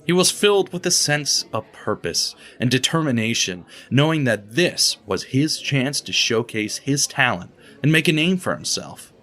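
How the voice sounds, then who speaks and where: A man narrating an audio drama with expressive, steady storytelling. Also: mid-range voice, normal speed, hardly any pauses. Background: guitar music.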